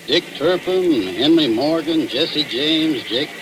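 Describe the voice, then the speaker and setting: A man's voice: fairly high pitch, animated, slow. An actor playing a joshing, eager small-town assistant policeman in a 1940s radio drama, slangy and wisecracking. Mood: teasing. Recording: noisy old radio recording.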